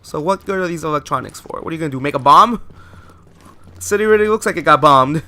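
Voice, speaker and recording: Chatty guy doing gameplay commentary, kinda high voice, rambles, talks to viewers. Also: expressive, quick pace, pushed and bright. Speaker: let's play host. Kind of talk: post-recorded gameplay commentary.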